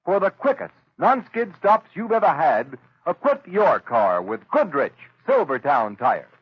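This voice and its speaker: A man's voice: a little high, sing-song, measured pace, slightly rough, dry recording. A man announcing a 1930s radio advertisement in an emphatic sales manner. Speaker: commercial announcer. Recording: muffled old radio recording.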